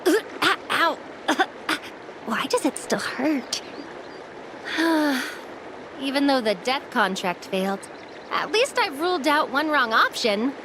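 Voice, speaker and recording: A young anime game character with a high voice. She muses to herself, brooding and softly determined. A faint ambient noise sits behind the voice. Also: heavily acted. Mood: pained musing. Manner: musing to herself. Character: brooding young woman fixated on her reaper, softly determined.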